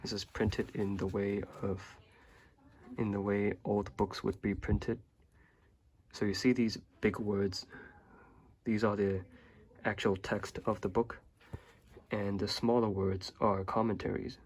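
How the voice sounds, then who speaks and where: Husky low-voiced young man, flat delivery. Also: takes it slowly. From Hong Kong. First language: Chinese. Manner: relaxed and rambling, many fillers and hesitations. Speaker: vlogger. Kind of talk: casual bookshelf tour.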